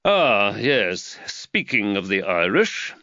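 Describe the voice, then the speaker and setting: A man voicing an earnest middle-aged London magistrate who lectures with energy, higher and louder than his own voice. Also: slow pace, dry recording, highs cut off, exaggerated voice. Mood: animated. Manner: lively, preachy, warms to his theme.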